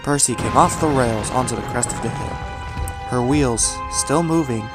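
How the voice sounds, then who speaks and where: A man narrates a scripted horror tale in a medium-pitched voice. He delivers it as a storyteller, measured and with dramatic emphasis, while music plays clearly behind him.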